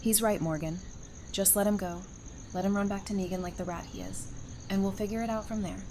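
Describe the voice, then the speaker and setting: A woman's voice: medium pitch, steady tone, a little fast, mellow, close to an everyday voice. A woman playing a brave, compassionate female fighter in an audio drama. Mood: pleading.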